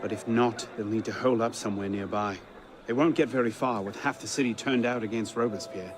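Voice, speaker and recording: An actor playing a serious, wary young former assassin in a dramatic video game cutscene. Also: male voice, lowish voice, steady tone, fairly quick, lightly acted. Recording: loud music and street crowd behind.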